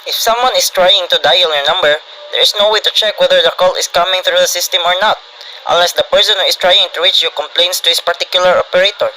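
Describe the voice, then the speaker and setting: A polite but impassive telephone operator, played by a man in a radio drama. The voice is a little high and formally scripted. There is clear noise around it. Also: fast talker, pushed and bright, low-quality recording. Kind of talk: suspense radio drama acting.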